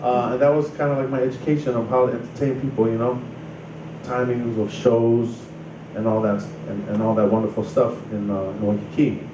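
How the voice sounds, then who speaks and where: A man's voice: mid-range voice, flat delivery, brisk. A man in his thirties, from Samoa, casually telling his life story in a loose, rambling way full of "you know" and "like". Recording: roomy distant mic with noise.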